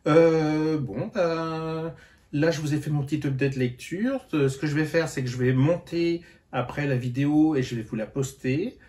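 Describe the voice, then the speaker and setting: A man's voice: a little high, moderate pace, darkish tone. A tired man chatting casually in a book vlog, hesitant and full of fillers and restarts. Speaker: book vlogger. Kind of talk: casual reading-update vlog.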